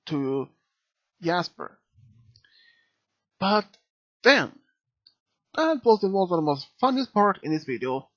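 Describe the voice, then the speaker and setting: Slow, halting reviewer with lively pitch swings. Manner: halting, searching for words. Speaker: video reviewer. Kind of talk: unscripted review commentary. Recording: dull, lo-fi mic sound.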